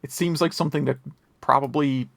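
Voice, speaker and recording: A man's voice: mid-range voice, fairly even intonation, brisk, vocal fry, fairly bright tone, dry recording. A man hosting a podcast, chatting casually about the news and wandering into conversational asides. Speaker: podcast host. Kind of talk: casual retro gaming news chat.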